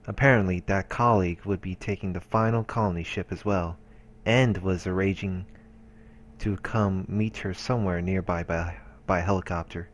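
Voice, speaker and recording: A man with a deep voice reads first-person narration from a visual novel. He is hosting a playthrough and reading the text aloud. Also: fairly even intonation, normal speed.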